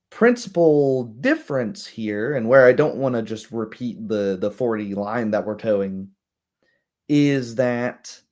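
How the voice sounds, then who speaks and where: A man's voice: mid-range voice, a little mellow. Young man rambling casually on a livestream. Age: young adult. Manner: rambling, halting asides, frequent "right?" tags. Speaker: livestream host.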